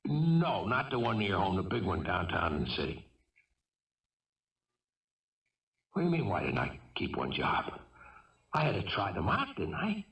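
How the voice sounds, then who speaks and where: A man's voice: lowish voice, wildly swooping pitch, moderate pace, vocal fry, fairly bright tone, distant mic, exaggerated voice. An actor playing a troubled young fugitive killer in a 1970s radio drama, defensive and childlike, stammering and repeating himself as he pleads. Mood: defensive, agitated. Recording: roomy, muffled old radio broadcast.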